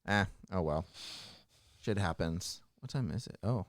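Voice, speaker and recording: A man's voice: deep voice, unhurried, dry recording, clean studio sound. A man chatting casually as a podcast host, joking and swearing freely in loose group banter. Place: small podcast studio. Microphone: close studio podcast microphone.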